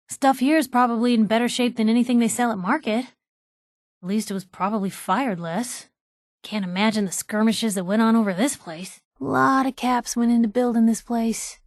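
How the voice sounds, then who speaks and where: A woman's voice: medium pitch, no echo, studio quality, close to an everyday voice. A woman playing a wry, inquisitive young reporter companion in a video game, with an American accent and a chatty, sarcastic way of talking. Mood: wry, teasing. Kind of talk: video game companion dialogue, conversational asides.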